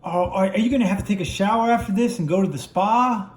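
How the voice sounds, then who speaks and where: A man doing playful improvised puppet play for children, with animated exclamations and teasing. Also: sits high, fast talker, roomy sound. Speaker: father puppeteering toy ponies for a kids' video.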